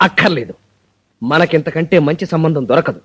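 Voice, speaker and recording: An actor playing a brusque, eager groom who gives orders in a film comedy. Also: male voice, a little high, brisk, fairly bright tone, husky. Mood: insistent.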